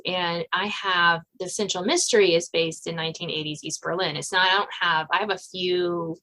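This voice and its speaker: A woman hosting a casual interview podcast, feeling her way through what she says in a halting, rambling manner. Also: lowish voice, sharp tone, rough recording. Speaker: podcast host.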